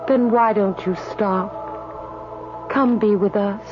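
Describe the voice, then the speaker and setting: An actress playing a gentle, concerned ghostly woman in a radio drama, tender and pleading. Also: medium pitch, fairly even intonation, takes it slowly, lightly acted. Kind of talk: old-time radio drama acting. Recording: muffled radio recording under loud music.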